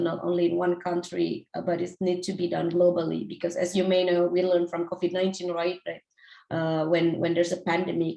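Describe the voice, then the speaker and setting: Woman from Indonesia, lowish voice, gravelly, informal talk, halting, echoey room. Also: a little mellow. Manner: halting, restarts phrases, fillers like "like" and "you know". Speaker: company founder and CEO giving a talk. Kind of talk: informal presentation.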